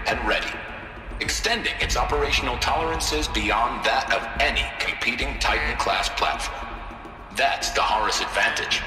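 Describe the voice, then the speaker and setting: An actor playing a polished corporate announcer delivering a smooth, upbeat sales pitch in a video game. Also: male voice, bright, forceful tone. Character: slick corporate male ad announcer. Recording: roomy, reverberant, distant in-game playback.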